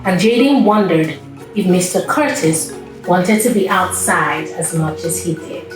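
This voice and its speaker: A woman's voice: lowish voice, a little slow. A woman reading a storybook aloud to young children, with simple child-directed phrasing and questions to her listeners. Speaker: children's read-aloud host. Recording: distant mic in echoey room, background music.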